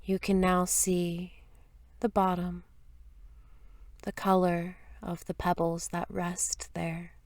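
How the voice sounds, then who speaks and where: A woman leading a guided meditation in a soft, gentle, soothing voice, with unhurried phrases and long pauses, at times breathy. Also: lowish voice, monotone, dry recording. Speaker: meditation teacher.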